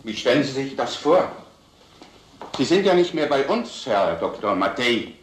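An actor playing a stern police commander, formal and authoritative, in an old crime film. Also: male voice, medium pitch, sing-song, unhurried. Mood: stern, dismissive. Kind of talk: vintage German crime film acting. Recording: roomy old film soundtrack.

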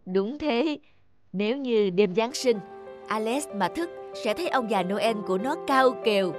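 An actor voicing a gentle, patient middle-aged father in an animated children's story, with a tender, measured, explanatory manner. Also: mid-range voice, music in the background, lightly acted. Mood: amused.

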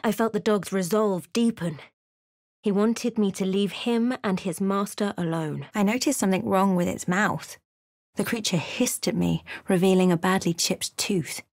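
An actress voicing a brooding, watchful female adventurer in a fantasy video game, telling the tale in a measured, hushed, dramatic way. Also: medium pitch, no echo, studio quality, natural voice. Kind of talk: dramatic narrated game voice lines.

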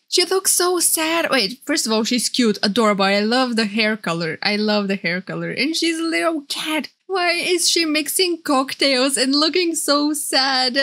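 A woman's voice: high voice, a bit bright. A woman streamer giving casual, gushing, exclamatory reaction commentary. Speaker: VTuber streamer. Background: game trailer music.

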